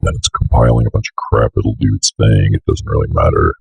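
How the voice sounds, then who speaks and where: A young man with an extremely low, husky voice crackling with fry walks through software steps. He has a slight cold and talks casually, muttering asides as he goes. The recording is close and dry, at a desk. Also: fairly quick, dry recording. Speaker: software tutorial presenter. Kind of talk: casual screen-recorded walkthrough. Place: indoor room at a computer desk.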